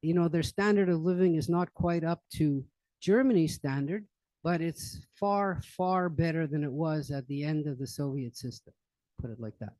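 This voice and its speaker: Older man, library talk, rambling, lots of fillers, slightly high voice, dry sound. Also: moderate pace, a little mellow, no echo, rough recording. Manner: rambling, many fillers and restarts. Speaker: lecturer on current events. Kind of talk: informal public library talk. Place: library meeting room.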